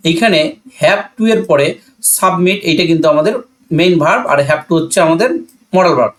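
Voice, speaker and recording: A man's voice: high-pitched, fairly quick. A man with a Bangladeshi accent teaching a grammar lesson, asking and answering his own questions and repeating key points. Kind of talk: classroom-style grammar lesson explanation.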